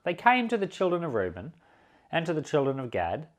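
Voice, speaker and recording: A man reading the Bible aloud as a preacher, in solemn, deliberate, emphatic short phrases. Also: on the high side, wildly swooping pitch.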